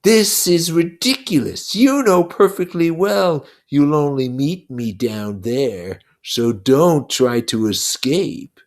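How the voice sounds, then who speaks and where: A narrator voicing a menacing, mocking pig's head, the beast, with drawn-out schoolmasterly condescension in a story read aloud. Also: mid-range voice, animated, pitched above the performer's own voice. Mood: angry, threatening.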